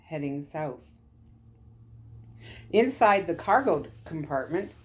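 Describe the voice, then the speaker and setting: A woman reading a story aloud in an expressive narrating style, as a storyteller. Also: lowish voice.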